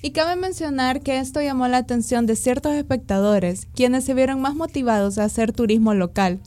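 Salvadoran woman radio co-host, a bit high-pitched, upbeat scripted read. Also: normal speed, warm tone.

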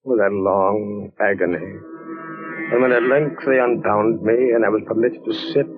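An actor playing a weary, anguished condemned prisoner, narrating haltingly and theatrically in a 1940s radio drama. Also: male voice, fairly low pitch, steady tone, natural voice. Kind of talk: 1940s radio acting, first-person narration. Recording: old muffled radio broadcast with music.

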